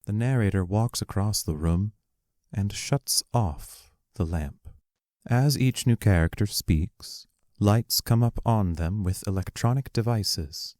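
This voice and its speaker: A man reading the stage directions of a play aloud as scripted narration, plainly and deliberately. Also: lowish voice, a little mellow, dry recording, clean studio sound.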